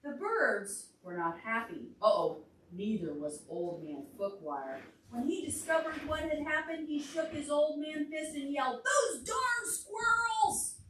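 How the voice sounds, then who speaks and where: A woman reading aloud to young children as a storytime librarian, pausing to put questions to them. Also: sits high, very animated, a bit bright. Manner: asks the children questions along the way. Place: indoor library storytime room. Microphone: distant room microphone. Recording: echoey room, distant mic, faint noise.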